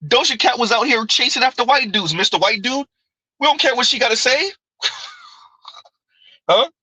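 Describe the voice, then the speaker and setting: A man's voice: extremely high pitch, animated, moderate pace, sharp tone, husky, no room sound, rough recording. A man hosting a live stream, reading viewer comments aloud and answering them in loose, conversational commentary that keeps circling back with "right?" and frequent laughter.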